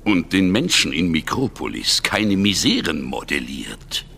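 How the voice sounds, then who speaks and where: An actor playing a menacing, coldly polite envoy of darkness, formal and stern, in a children's fantasy audio drama. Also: male voice, sits low, big pitch swings, a little slow, vocal fry, pushed and bright, a little hiss or hum, exaggerated voice. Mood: stern, accusing.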